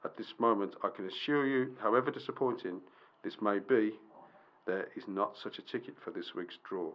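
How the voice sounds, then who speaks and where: A man's voice: on the low side, moderate pace, muffled recording, lightly acted. A man acting in an amateur radio play as a polite, formal customer service clerk, hesitant and apologetic. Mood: firm, polite.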